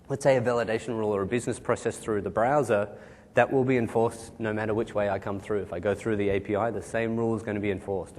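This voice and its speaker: A man presenting at a tech conference on a large stage, talking quickly and informally while losing his voice. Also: darkish tone. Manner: quick, informal, kind-of fillers. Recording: hall reverb, faint rumble.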